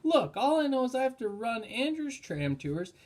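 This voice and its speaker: A man's voice: extremely high pitch, sing-song, normal speed, pitched above the performer's own voice. A man voicing a breezy, curt young woman park ranger in a storybook. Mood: annoyed, hurried.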